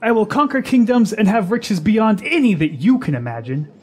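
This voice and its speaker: A boastful young would-be warrior , played by a man. His voice is husky, fairly high and very animated, eager and emphatic in fantasy radio drama style. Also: moderate pace.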